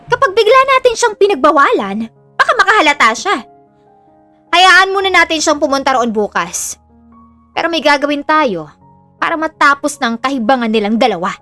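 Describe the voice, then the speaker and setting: Woman doing nasty scheming filipino mom in a radio drama, high sharp voice. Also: big pitch swings, moderate pace, dry recording, faint music behind, full character voice. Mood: cold scheming. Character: spiteful, greedy, scheming mother.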